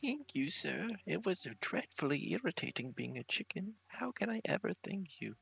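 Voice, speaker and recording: A man's voice: sits high, sing-song, normal speed, vocal fry, a bit bright, husky, muffled recording, faint music behind, voice pushed up, exaggerated voice. A man voicing a flustered, grateful and fussily polite male character from a fantasy game. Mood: relieved, grateful. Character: flustered, grateful man freed from being a chicken. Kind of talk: fantasy game character voice in a read-aloud playthrough.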